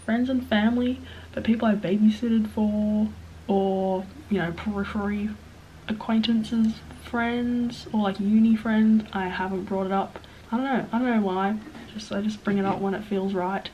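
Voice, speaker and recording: A woman in her early twenties with an Australian accent, talking casually to camera with a stuffed-up, nasal voice, rambling and self-deprecating, sometimes dropping to a soft whisper. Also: mid-range voice, fairly even intonation, moderate pace, lo-fi sound, slight background noise. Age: 20s. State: sniffly, stuffed-up nose. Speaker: vlogger.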